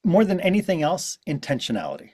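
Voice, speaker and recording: A fairly high-voiced man from Quebec talks as a guest on a business podcast. He speaks earnestly and stresses his points. The tone stays conversational throughout. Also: huge pitch swings, quick pace, darkish tone, breathy edge. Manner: earnest, emphatic. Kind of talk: casual interview.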